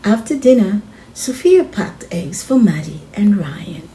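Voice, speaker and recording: A woman reading a children's storybook aloud as host and narrator, expressive and addressing children as friends. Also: mid-range voice, unhurried, slight background noise.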